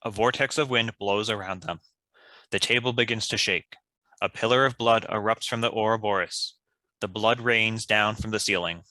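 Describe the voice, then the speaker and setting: Bright, low-pitched male script narrator. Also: steady tone, moderate pace, no echo. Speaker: stage-direction narrator.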